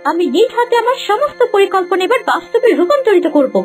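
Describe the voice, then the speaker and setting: A woman's voice: extremely high pitch, very animated, brisk, over music. A woman voicing a haughty, deceitful young palace queen in a melodramatic cartoon. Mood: fretful scheming. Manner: imperious, stammers when cornered.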